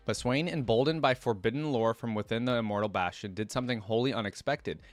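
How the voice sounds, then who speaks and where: A young man hosting a reaction channel, reading character lore aloud and thinking out loud between passages, with halting restarts. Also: medium pitch, no echo, faint music behind.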